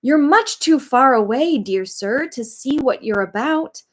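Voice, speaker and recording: Storybook villain: a woman doing a grinning, pushy crocodile, slow and coaxing. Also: high-pitched, expressive, pitched above the performer's own voice. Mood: sly demanding. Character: cunning grinning male crocodile, sly and pushy.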